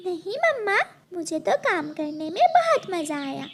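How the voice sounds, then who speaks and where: A woman's voice: very high voice, very animated, unhurried, creaky, slight background noise, exaggerated voice. A woman voicing an eager, helpful little girl bird in a children's cartoon, with chirping birds behind. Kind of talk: Hindi children's cartoon dialogue. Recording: chirping birds and faint background ambience.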